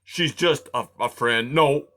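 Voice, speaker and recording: A man voicing a grumpy, complaining male porcupine who snarls and shouts in loud outbursts. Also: medium pitch, slow, pitched above the performer's own voice. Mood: grumbling.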